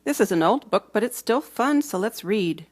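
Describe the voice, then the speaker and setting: A woman narrates a children's storybook in a mid-pitched voice. She reads expressively, leaning on dramatic words and dropping into hushed moments. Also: lively intonation, normal speed. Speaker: storybook reader.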